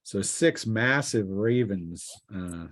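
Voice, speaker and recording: A man's voice: lowish voice, expressive. A man working as game master, improvising narration for a tabletop roleplaying game and often restarting his sentences partway through. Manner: halting, restarting descriptions mid-sentence.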